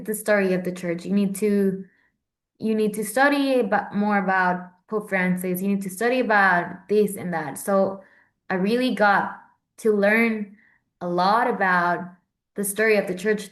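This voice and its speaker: A woman's voice: medium pitch, fairly even intonation, moderate pace. Chatty young woman. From Central America. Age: 20s. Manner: chatty, with many fillers and restarts. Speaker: Vatican correspondent. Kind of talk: casual informal talk.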